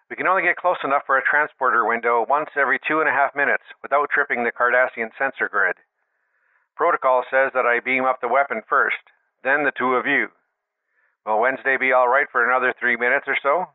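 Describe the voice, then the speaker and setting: A man's voice: medium pitch, fairly even intonation, brisk. Man acting a starship captain over tinny radio. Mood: composed, businesslike. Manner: businesslike, composed. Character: composed male starship captain, brisk and businesslike. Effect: radio filter. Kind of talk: science-fiction audio drama. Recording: narrow, tinny radio-comms sound.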